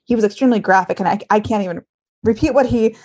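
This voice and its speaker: A woman speaks as a podcast host in a medical discussion. Her voice sits at an average pitch, and her delivery is earnest and emphatic. She builds her points through pointed rhetorical questions in a conversational way. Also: fast, a little mellow. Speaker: podcast host and former travel nurse.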